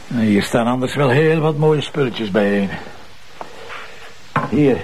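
An actor playing a sardonic, self-assured police commissioner with a Flemish accent, mocking and probing in a naturalistic TV crime drama. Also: male voice, medium pitch, slow pace, a little mellow, a little hiss or hum, lightly acted, rough recording. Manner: mocking, probing, dryly ironic.

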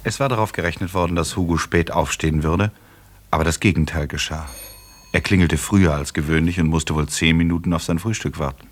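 Very deep, husky male narrator. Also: fairly quick. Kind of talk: scripted literary narration for a radio play.

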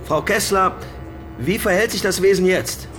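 A man's voice: high voice, moderate pace, over music, natural voice. An actor playing an urgent, inquiring male news correspondent questioning experts live in a radio play.

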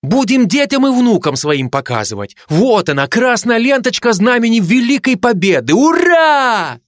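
A man's voice: extremely high pitch, very animated, moderate pace, dry recording, studio quality, pitched above the performer's own voice. A man voicing a young Ukrainian soldier who slips Ukrainian words into his Russian and bristles at teasing. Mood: exultant. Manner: boastful, indignant.

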